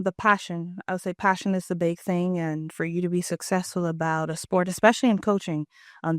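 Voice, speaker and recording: A young woman from the Bahamas with a Bahamian accent, a track coach answering interview questions in an earnest, steady way. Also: lowish voice, no room sound, studio quality. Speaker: head track and field coach, guest.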